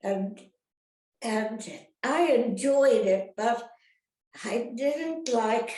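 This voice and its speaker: An older woman, an actress reminiscing casually in an interview, rambling and halting, often turning questions on her listeners. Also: fairly high pitch, lively intonation, darkish tone. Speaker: retired actress being interviewed. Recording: roomy, echoey sound.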